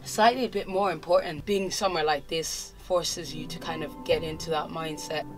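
A young woman from Zimbabwe, a musician, giving relaxed, thoughtful interview answers in a casual way, recorded indoors in a studio. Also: sits low, normal speed, over music, rough recording. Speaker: musician guest. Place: indoor studio with clothing racks.

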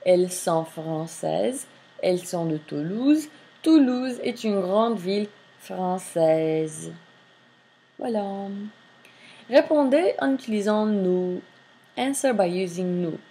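A woman's voice: fairly low pitch, creaky, warm tone. A woman French teacher, reading textbook lines aloud and explaining them in English during a screen-recorded lesson. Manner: reads French lines then translates, slow and deliberate.

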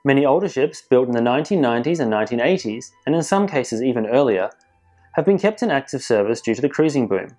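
A man presenting cruise industry news in measured, scripted voice-over narration. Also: medium pitch, lively intonation, a little mellow, faint music behind. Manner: measured, informative delivery. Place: voice-over, not in pictured places.

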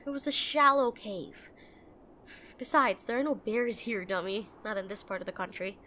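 A woman playing a brusque, protective and frightened tsundere girlfriend in a roleplay audio drama, speaking urgently and haltingly straight to the listener. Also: mid-range voice, pushed and bright, slight background noise, lightly acted. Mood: tense, urgent. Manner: bossy, urgent, emotional, halting.

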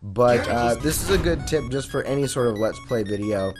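A man giving a casual Let's Play tutorial into a USB condenser mic at his home gaming setup, talking relaxed with many uhs and ums. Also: mid-range voice, normal speed. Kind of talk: casual software tutorial and game commentary. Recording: voice over loud game music. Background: game music.

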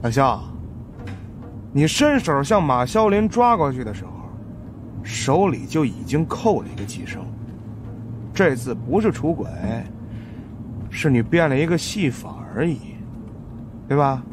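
A man's voice: a little high, very animated, a little slow, pauses often, background noise, voice pushed up. A man voicing a cheeky, shrewd fat sidekick in an audiobook, needling and wheedling as he talks. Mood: smug, shrewd. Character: cheeky, shrewd fat male sidekick, money-minded grumbler. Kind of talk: audiobook character acting.

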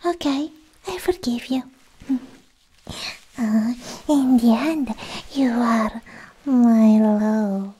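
A young woman playing a sweetly possessive, obsessed alien girlfriend in a whispered, coaxing ASMR roleplay, speaking close to the mic in halting phrases. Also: on the high side, mellow, exaggerated voice. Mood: doting, possessive. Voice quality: breathy, mostly whispered. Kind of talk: soft-spoken ASMR roleplay. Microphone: close ASMR microphone.

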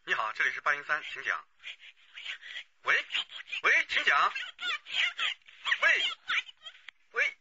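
一名男演员在广播剧里饰演一位警觉尽责、语气急切而恭敬的接警员。. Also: male voice, on the high side, wildly swooping pitch, takes it slowly, vocal fry, long pauses, exaggerated voice. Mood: insistent. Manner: urgent, deferential to superior. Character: alert, dutiful male police phone operator. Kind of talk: radio drama acting. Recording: dull audio with faint noise.

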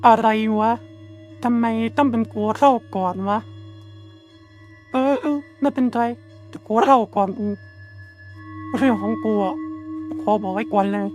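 A woman plays a boastful cartoon schoolkid with a high voice, telling a ghost story. The phrases come out halting and drawn out for suspense, with clear music playing behind. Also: a little mellow, natural voice. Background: clear background music.

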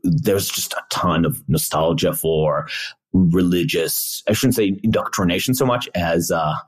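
Low, gravelly-voiced male podcast co-host. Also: measured pace. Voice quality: gravelly, raspy. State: underslept. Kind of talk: casual reflective podcast chat.

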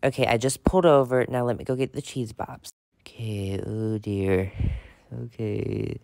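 A woman's voice: rumbling low, fairly even intonation, normal speed, dark tone, no echo, clean studio sound. A woman playing a weary, practical mother in a pretend-play story, firm and matter-of-fact. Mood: firm, patient. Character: weary, practical mom of a young girl.

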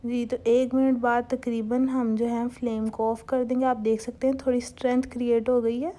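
Young woman talking thru a recipe in her kitchen, kinda high pitched, soft warm sound, calm explainer vibe. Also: normal speed. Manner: calm, instructive, mixes English cooking terms into Hindustani speech. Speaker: cooking channel host. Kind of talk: step-by-step recipe voice-over.

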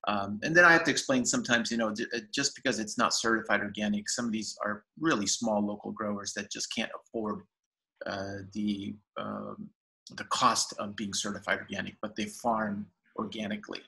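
A man in his fifties speaks from a home room over a laptop webcam. His voice sits low and fairly flat, with a bright, forward edge. He rambles eagerly, full of fillers and restarts. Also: moderate pace, low-quality recording. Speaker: farmers market general manager. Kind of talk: casual video-call interview. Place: home room with shelves, indoors. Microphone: laptop webcam on video call.

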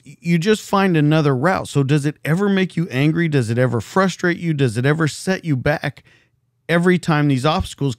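A man's voice: fairly high pitch, no room sound. A man hosting a podcast from a home studio, asking probing questions and repeating them. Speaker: podcast host. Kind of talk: interview questions.

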